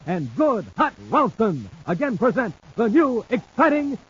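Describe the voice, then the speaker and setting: A man announcing on a 1950s radio show, selling and narrating in an excited, emphatic, punchy way. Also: very high-pitched, measured pace, husky. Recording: dull 1950s radio broadcast recording.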